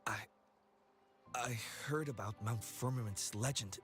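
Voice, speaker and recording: A man's voice: medium pitch, measured pace, a bit bright, faint music behind, close to an everyday voice. An actor playing an injured young male explorer in a game, weak and pleading. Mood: shaken. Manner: pleading, insistent.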